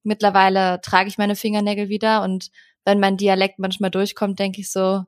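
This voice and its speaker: Flat-voiced hesitant woman podcast host. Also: medium pitch, moderate pace. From Rhineland, Germany. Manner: hesitant, many fillers, even delivery. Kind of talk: podcast interview.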